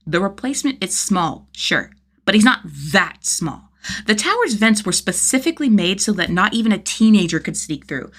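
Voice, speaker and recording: A woman's voice: mid-range voice, very animated, normal speed, fairly bright tone. A woman narrating fan fiction as a scripted audiobook-style reading, with a dramatic, emphatic delivery. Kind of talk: expressive scripted story narration.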